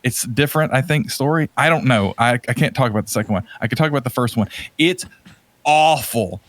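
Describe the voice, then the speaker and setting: A man with an average-pitched voice talks in a casual, opinionated podcast style. He sounds exasperated and leans on repeated words for emphasis. The sound is dry, with very little room around it. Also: brisk, no echo. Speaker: podcast co-host. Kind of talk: casual opinionated movie chat.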